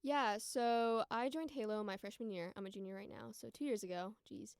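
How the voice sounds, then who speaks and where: A woman's voice: mid-range voice, steady tone, moderate pace, clean tone, no echo, clean studio sound. A young American woman around twenty chatting casually as a podcast guest, informal and peppered with "like" and "you know". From United States. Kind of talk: casual conversational chat and storytelling.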